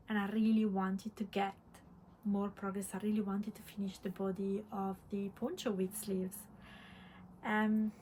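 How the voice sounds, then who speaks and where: A woman from Italy with an Italian accent chatting casually as host of a vlog, halting and reflective, often repeating words. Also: medium pitch, darkish tone, rough recording. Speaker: podcast host, crafter. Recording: clear background room noise.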